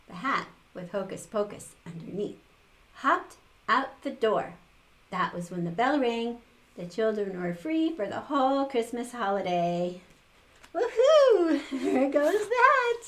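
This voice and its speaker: An older woman reading a picture book aloud to children, expressively, with little exclaimed asides. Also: medium pitch. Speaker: storytime reader for children.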